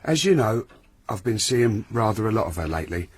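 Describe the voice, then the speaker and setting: Husky young detective, earnest and direct, radio drama. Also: male voice, on the low side, big pitch swings, fairly quick. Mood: probing. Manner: direct, probing. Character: earnest young male suitor, police detective.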